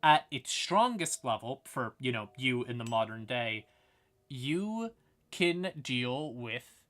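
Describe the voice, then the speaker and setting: A man's voice: mid-range voice, very animated, takes it slowly, fairly bright tone. A man hosting a livestream, explaining fantasy lore in a casual, informal manner with some swearing. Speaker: live streamer and host.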